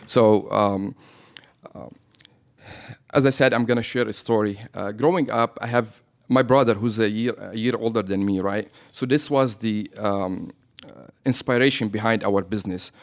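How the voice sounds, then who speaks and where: This is a man with a Lebanese accent and a fairly low voice. He is a business owner answering questions in a radio interview. He keeps checking in with his listeners by adding "right?" to his points. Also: normal speed. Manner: explains with frequent "right?" tags.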